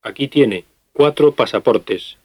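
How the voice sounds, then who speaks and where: A man in his fifties playing a doctor travelling with his family, speaking clearly and carefully in a scripted language-lesson dialogue. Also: mid-range voice, a little mellow, no room sound. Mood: calm. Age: 50s. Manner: clear, careful, deliberate delivery. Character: fifty-year-old male doctor on family trip.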